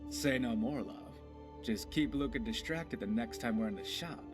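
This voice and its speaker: An actor playing a dashing, playful pirate rogue in a video game, wry, boastful and theatrical. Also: male voice, mid-range voice, normal speed, music in the background, close to an everyday voice. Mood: warm, sly. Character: dashing cocky young male pirate rogue, playful and tender. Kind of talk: voiced game character dialogue.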